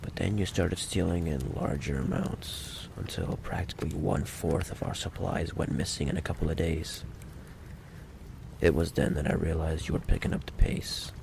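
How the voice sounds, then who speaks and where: A man playing a menacing, impatient mafia boss in an ASMR roleplay, taunting the listener with slow, mock-courteous threats in a gravelly voice. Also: steady tone, background noise. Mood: calm, probing. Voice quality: deep, gravelly. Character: menacing, possessive male mafia boss, smooth-talking and impatient.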